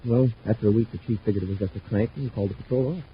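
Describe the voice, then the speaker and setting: Male actor as young sidekick cadet, eager, rapid speech, lowish pitch, vintage radio acting, muffled. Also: close to an everyday voice. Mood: eager, chatty. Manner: eager, chatty, deferential. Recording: muffled old radio recording, faint noise.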